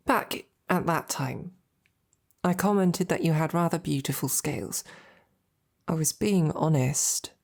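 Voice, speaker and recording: Woman doing a soft knight lady for asmr roleplay, slow n breathy, lots of fry, affectionate and teasing, half whispering. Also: low-pitched, exaggerated voice. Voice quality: breathy, husky, often whispered. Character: devoted female knight, tender and teasing, courting a princess. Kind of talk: intimate ASMR roleplay acting.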